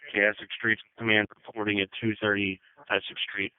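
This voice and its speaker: A man's voice: lowish voice, level tone, a little fast, clean tone. A man working as a county fire dispatcher on two-way radio, reading back messages in a procedural way. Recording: phone-like narrowband radio transmission.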